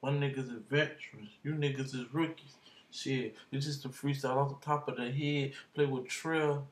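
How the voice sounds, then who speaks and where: A young man talking into his webcam in a dark bedroom, bragging in slang, half-singing some lines. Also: mid-range voice, fairly even intonation, moderate pace, reverberant. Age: young adult. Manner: boastful slangy banter, drifts into half-sung lines and laughs. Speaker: vlogger. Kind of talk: casual rambling vlog. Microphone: computer webcam up close.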